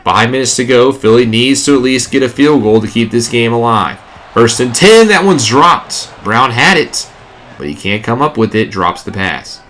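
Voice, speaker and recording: A stuffy, congested man giving excitable play-by-play commentary over a video game, rising on big plays, then recapping the week as a gaming YouTuber. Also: mid-range voice, moderate pace.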